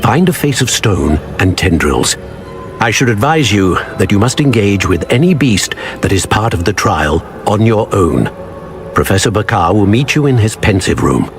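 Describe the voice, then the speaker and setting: An actor playing a solemn, wise elder wizard guardian in a video game, speaking in a formal, stately, instructive manner. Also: male voice, lowish voice, big pitch swings, moderate pace. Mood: grave, solemn. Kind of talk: dramatic video game voice acting. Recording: music behind the voice. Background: game music.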